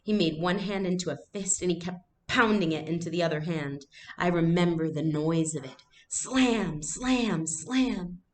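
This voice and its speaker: A woman's voice: on the low side, measured pace, lightly acted. A woman voicing a reassuring young girl, matter-of-fact and confiding, in a storybook read-aloud. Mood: somber, remembering.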